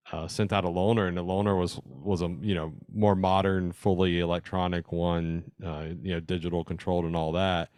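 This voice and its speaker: A man's voice: deep voice, clean tone, no echo, clean studio sound. A man giving an informal slideshow presentation to a radio club, explaining technical details in a conversational, interested way.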